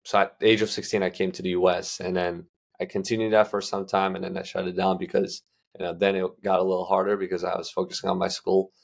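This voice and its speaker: A man's voice: deep voice, level tone, fast talker, creaky. A young man from Uzbekistan, a guest answering interview questions in a loose, casual way with lots of fillers and restarts. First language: Russian. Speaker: guest entrepreneur.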